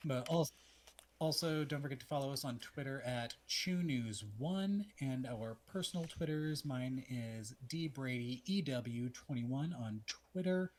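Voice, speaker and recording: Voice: medium pitch, a little slow. An American podcast co-host from Texas, chatting casually. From Texas, United States. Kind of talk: casual chat.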